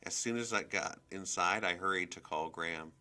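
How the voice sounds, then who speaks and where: A man reading a children's novel aloud as expressive scripted narration. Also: low-pitched, normal speed. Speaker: storybook reader.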